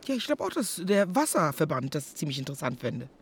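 A woman's voice: low voice, sing-song, quick pace, husky, exaggerated voice. An actress playing a pushy, determined village woman in a radio play, urgent and probing. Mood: confrontational.

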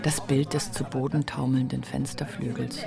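An actor playing a male literary narrator in a radio drama, reading scripted prose in a measured, contemplative way. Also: mid-range voice, flat delivery, gravelly. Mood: reflective. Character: reflective literary narrator of a novel. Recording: music bed behind narration.

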